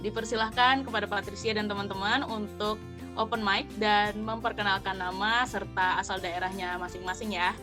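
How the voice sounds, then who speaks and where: A woman's voice: mid-range voice, steady tone, normal speed, music in the background. A young woman student moderating an online interview, polite and structured with light filler words. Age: young adult. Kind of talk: formal event moderation. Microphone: video call.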